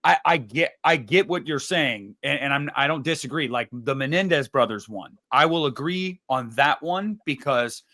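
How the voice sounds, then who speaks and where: A man's voice: medium pitch, animated, normal speed, hardly any pauses, pushed and bright. A man on a podcast panel giving blunt, emphatic opinions in casual debate, acting out imagined quotes as he argues.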